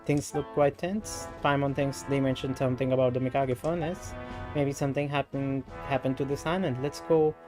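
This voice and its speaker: A man's voice: medium pitch, flat delivery, moderate pace, music in the background, close to an everyday voice. Man reading a curious little fairy companion. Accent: Indian. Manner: inquisitive.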